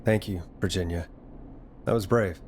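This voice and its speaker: An actor playing a smooth-talking, gentle radio DJ in a video game, with a reassuring, playful way of talking. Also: male voice, deep voice, normal speed, slight background noise, close to an everyday voice. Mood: concerned, thoughtful.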